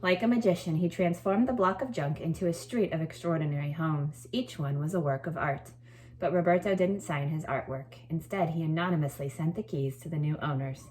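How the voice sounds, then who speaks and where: A woman with a low-pitched voice speaks as a teacher to her pupils. Her delivery suits reading a picture book aloud and explaining schoolwork to children. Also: brisk, a little mellow, slight background noise. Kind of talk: expressive storybook read-aloud, then informal assignment explanation.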